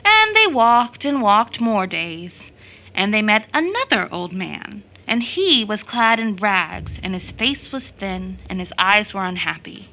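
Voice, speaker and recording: A woman's voice: mid-range voice, sing-song, a little slow, sharp tone, full character voice, poor audio. A woman acting as a storyteller in an audio drama, narrating a children's fairy tale expressively. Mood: storytelling.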